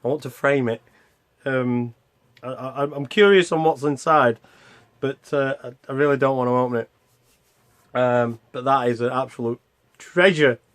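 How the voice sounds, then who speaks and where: A man's voice: medium pitch, fairly even intonation, normal speed, pauses often, fairly bright tone. A man hosting a retro gaming vlog in a casual, unscripted way, rambling and hesitant as he interrupts himself. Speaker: host of a retro gaming video channel.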